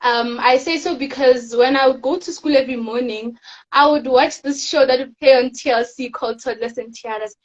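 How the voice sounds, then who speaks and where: Teen girl guest, high voice, soft-spoken, muffled lo-fi audio. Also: normal speed. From South Africa. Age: teens. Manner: soft-spoken, rambling storytelling with fillers. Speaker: guest, teen pageant winner. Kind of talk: casual interview chat.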